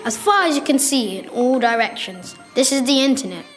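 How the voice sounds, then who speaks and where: A woman's voice: high voice, animated, fairly quick, faint music behind. A young girl playing Firewall, a friendly, careful and reassuring guide to the internet in a school radio play. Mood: friendly, informative. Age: child. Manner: reassuring, explanatory.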